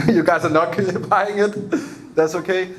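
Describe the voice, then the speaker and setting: A man's voice: sits high, fairly even intonation, a little slow, fairly bright tone, slight background noise. A young man from Denmark with a Danish accent, doing a loose, chatty stand-up set on a club stage and laughing at his own jokes. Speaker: stand-up comedian.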